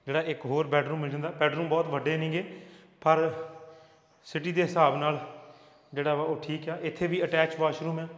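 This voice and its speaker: A middle-aged Punjabi man giving a house tour as a real estate agent, explaining each room steadily and mixing English words into his speech, recorded on a lapel mic. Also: a little high. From Punjab, India. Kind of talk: walking property tour commentary. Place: empty new house, bare echoing rooms. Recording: reverberant empty rooms.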